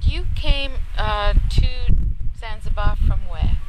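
A woman's voice: mid-range voice, slow pace. A woman hosting a conversational field interview, speaking in an encouraging, affirming manner. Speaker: podcast host interviewer. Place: on location at a training institute. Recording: noisy field recording, clicks.

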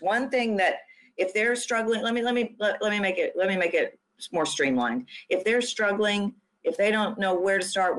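A woman hosting a podcast interview, affirming her guest and often repeating and restarting her phrases. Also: mid-range voice. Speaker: podcast host.

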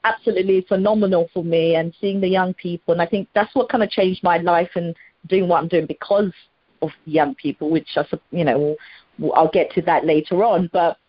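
A woman's voice: lowish voice, fairly even intonation, brisk, no echo. Enthusiastic middle-aged woman, muffled audio. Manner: enthusiastic, frequent fillers and restarts. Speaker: guest, former primary teacher. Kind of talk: casual interview chat.

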